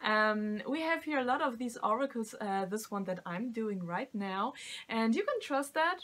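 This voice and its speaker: A woman's voice: on the high side, animated, normal speed, a bit bright. A middle-aged woman and non-native English speaker, talking casually to camera as a tarot reader and vocal coach, with many fillers and restarts. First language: not English.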